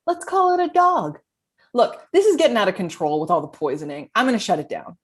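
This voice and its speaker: A cocky, shady woman played by an actress wisecracks her way through a comedy script. Her pitch sits in a middle register but jumps around in a very lively way. She sounds like a brash small-time crook at a table read. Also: brisk, husky. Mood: guarded. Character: shady, brash woman selling poison and racing coyotes.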